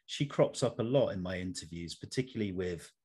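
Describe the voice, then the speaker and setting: A middle-aged man hosting a conversational interview in a measured, polite, slightly formal manner. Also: low-pitched, animated, darkish tone, slightly rough. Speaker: interview host.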